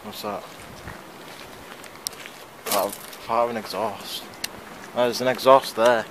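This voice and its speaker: A man's voice: mid-range voice, very animated, slow pace, vocal fry. A man giving casual exploring commentary outdoors into a handheld camera as a vlogger. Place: outdoors among derelict brick buildings and lanes. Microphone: handheld camera microphone. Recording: very noisy windy outdoor camera recording.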